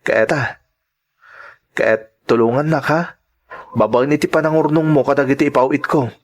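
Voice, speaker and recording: A man's voice: medium pitch, steady tone, unhurried, close to an everyday voice. A man with an Ilocano accent playing an earnest, devoted young son working abroad, in sentimental radio drama style. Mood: earnest, promising.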